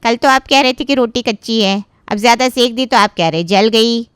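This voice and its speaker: Woman as defiant hired cook, argumentative, smooth high voice, close dry sound, family story dialogue. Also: moderate pace, fairly bright tone, no room sound, natural voice. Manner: complaining, talking back.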